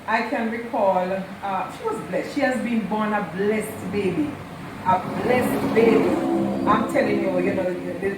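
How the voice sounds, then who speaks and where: A woman, the host's mother, fondly telling stories about her daughter's childhood at an unhurried pace and repeating herself for emphasis. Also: medium pitch. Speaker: mother of the channel host, guest. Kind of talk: casual storytelling. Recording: echoey room, distant mic, noisy.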